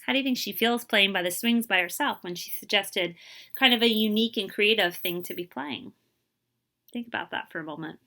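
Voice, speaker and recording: A woman reading a picture book aloud to children as a librarian, expressive and explanatory, putting questions to the listener. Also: medium pitch, fairly quick.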